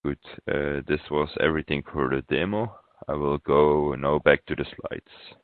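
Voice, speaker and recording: Phone-like audio, male software trainer, extremely low voice, halting step-by-step webinar walkthrough. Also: steady tone, dry recording. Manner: halting, pausing mid-phrase. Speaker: software consultant presenting a webinar demo.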